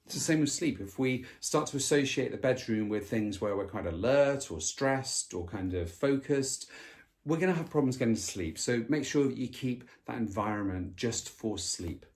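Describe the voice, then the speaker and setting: A man talking through advice in a relaxed, unscripted way. His voice sits at an ordinary middle pitch. He hesitates often, filling gaps and restarting his sentences. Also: moderate pace. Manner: hesitant, many fillers and restarts. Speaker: co-host. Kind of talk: casual advice chat.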